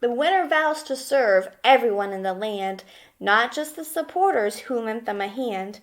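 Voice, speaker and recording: A woman's voice: fairly high pitch, lively intonation, moderate pace. A woman elementary school teacher reading aloud to young children and explaining things simply, often checking in as she gives instructions. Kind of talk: children's read-aloud lesson with questions.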